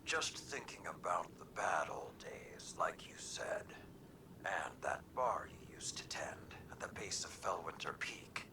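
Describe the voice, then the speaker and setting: A man playing an ancient, stern, weary warrior lord with a breathy growl, measured and brooding, in a video game cutscene. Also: deep voice, lively intonation, in a whisper, poor audio. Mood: brooding, accusing.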